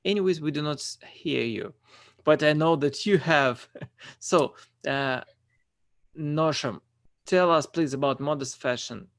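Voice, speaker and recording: Slow, halting male online host. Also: on the high side, dry recording, studio quality. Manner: halting, hesitant phrasing. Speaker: conference host and moderator. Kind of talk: moderating an online panel. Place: online video call.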